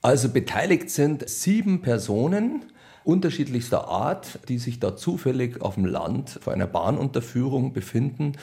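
A man's voice: mid-range voice, creaky, mellow. A man with a Bavarian accent answering interview questions in a wry, colloquial, conversational way. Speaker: radio play author and director being interviewed.

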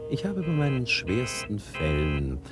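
A bossy private detective in a 1960 German radio play. His pitch swings widely as he moves from smooth soothing to barked orders, with music behind him. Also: huge pitch swings, unhurried. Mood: soothing, smug. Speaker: radio drama actor.

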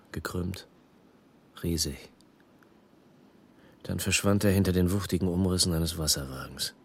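An actor playing a restless small-time crook who narrates a noir radio drama in terse phrases with a husky voice. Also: male voice, sits low, slight background noise. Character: hard-boiled small-time male crook recounting his past, restless. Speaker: narrator and lead.

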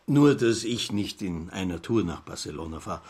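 Husky, pompous Viennese bohemian philosopher. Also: male voice, low voice, expressive, moderate pace, darkish tone, heavily acted. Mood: eager, chatty. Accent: Austrian. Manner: pompous, lecturing, wordy. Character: Viennese newspaper editor, bohemian, garrulous amateur philosopher. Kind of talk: literary radio drama dialogue.

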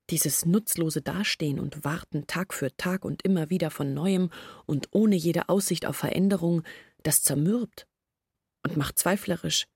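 A woman's voice: fairly low pitch, a little mellow, slightly rough, dry recording, studio quality. An actress playing a troubled young woman who earnestly recounts her family's long hardship in a literary radio drama. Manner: earnest, reflective storytelling in long sentences. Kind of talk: German literary radio drama acting, monologue.

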